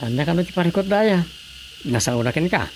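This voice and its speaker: A man's voice: fairly high pitch, expressive, brisk, close to an everyday voice. An actor playing an everyday family man, a husband, in a radio drama, with an Ilocano accent. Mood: conversational. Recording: noisy track with chirping night crickets.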